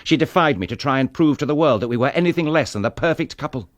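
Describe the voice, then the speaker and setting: An actor playing a tormented English gentleman in a radio drama, speaking with intense, bitter, clipped delivery. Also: medium pitch, lively intonation, fast talker, dry recording, close to an everyday voice. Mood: bitter, anguished. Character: tormented English gentleman husband confessing to killing his wife.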